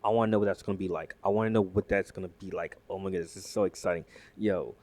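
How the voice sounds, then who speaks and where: Excitable rambling man, lowish voice. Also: very fast. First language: not Japanese. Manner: rambling, excitable, many fillers and restarts. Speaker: YouTube commentator. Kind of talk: casual reaction commentary reading news aloud.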